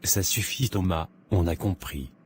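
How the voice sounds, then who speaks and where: A man playing an irritable, self-assured and covetous married man in an animated drama, with a dismissive, grumbling manner. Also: low voice, unhurried, a little hiss or hum. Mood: mocking, irritated. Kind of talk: scripted animated drama dialogue.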